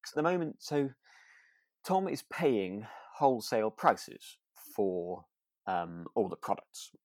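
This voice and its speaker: A man co-hosting a podcast in casual chat, speaking in a soft, low-key way with hesitant, self-correcting phrasing. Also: very animated, takes it slowly, dry recording. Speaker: podcast co-host. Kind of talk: casual chat about a radio drama.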